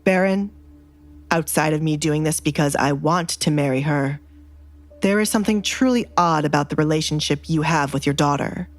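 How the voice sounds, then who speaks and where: Cold, commanding young duke for romance audio drama, played by a woman; low, measured, threatening delivery. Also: lightly acted. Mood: menacing.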